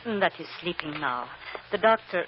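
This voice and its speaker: Actress as an anxious immigrant wife, muffled old radio. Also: female voice, on the low side, animated, moderate pace, background noise, lightly acted. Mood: anxious, pleading. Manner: earnest, emotional, stilted foreign phrasing. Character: devoted, anxious immigrant wife and mother, insistent, tender. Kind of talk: old-time radio drama acting.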